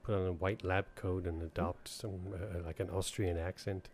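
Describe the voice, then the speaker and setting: Husky low-voiced male interviewer. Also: level tone, moderate pace. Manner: quiet, encouraging back-channel prompts. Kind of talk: casual interview questions.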